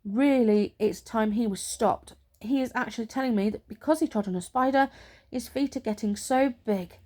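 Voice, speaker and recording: A woman's voice: fairly high pitch, moderate pace, darkish tone, lightly acted. A woman voicing a stern, no-nonsense father in a storybook, speaking firmly and solemnly with disapproval. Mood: exasperated.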